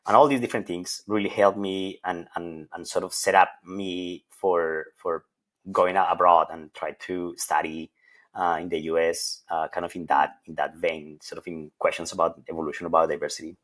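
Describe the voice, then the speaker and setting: A man's voice: low voice, level tone, normal speed, a bit bright. A middle-aged man from Colombia, a professor, answering interview questions thoughtfully with frequent hesitations and repeated words. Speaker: associate professor of plant evolution.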